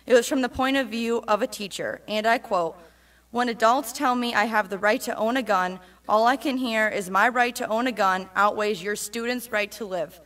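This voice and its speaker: A woman, a church member, giving a steady, emphatic public reading of a speech in a church. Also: a little high, normal speed.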